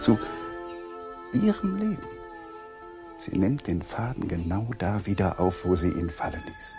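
An actor playing Walter, an uneasy and suspicious husband, in a 1980s German radio play, with brooding inner monologues. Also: male voice, on the low side.

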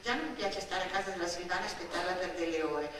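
A woman's voice: on the low side, fast talker, fairly bright tone. A woman with a Northern Italian accent performing a dramatic theatrical monologue, telling stories with emphatic repetitions. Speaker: stage actress and storyteller. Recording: echoey hall from far off, faint noise.

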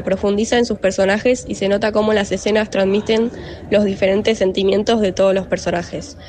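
A woman with a medium-pitched voice reads a prepared book review aloud. The recording is pre-recorded and carries a faint background noise. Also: a little mellow.

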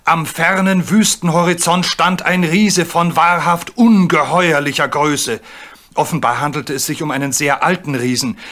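A man narrating a German radio play in an expressive, suspenseful storybook style. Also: on the high side, moderate pace.

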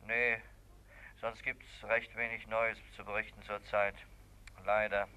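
A man's voice: fairly low pitch, flat delivery, measured pace, sharp tone, clean tone, poor audio. An actor playing a bluff, kindly, concerned police inspector in a 1960s German radio crime drama, with a fatherly manner. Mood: kindly, official.

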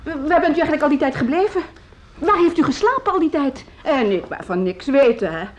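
High-voiced fussy scolding landlady. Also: big pitch swings, a little fast. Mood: scolding, exasperated. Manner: chattering, repeats words, nagging. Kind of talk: radio drama acting. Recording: faintly noisy old radio recording.

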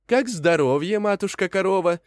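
A man's voice: high voice, expressive, normal speed, no room sound, clean studio sound, voice pushed up. A man voicing a small, sly storybook rabbit who talks in a wheedling, mock-polite way. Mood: chirpy, polite. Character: small cunning chirpy male rabbit, sweetly polite trickster. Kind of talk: animated fairy-tale character voice.

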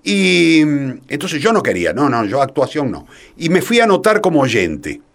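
An older man with a Rioplatense Argentine accent recounts anecdotes in a very animated, swooping voice. He is self-deprecating and conversational, often breaking off and circling back as an interview guest. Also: fairly high pitch, normal speed. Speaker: interview guest, theatre playwright. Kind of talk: casual anecdotal storytelling.

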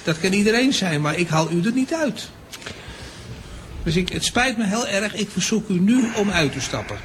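Man acting a fussy, higher-voiced train conductor. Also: animated, moderate pace, noisy background. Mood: indignant. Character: officious, nagging male train conductor, petty and indignant. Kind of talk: radio play acting.

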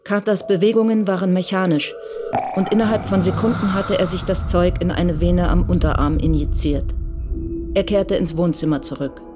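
A woman narrating a radio drama from a script in a composed, measured way. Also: fairly low pitch, fairly even intonation, music in the background.

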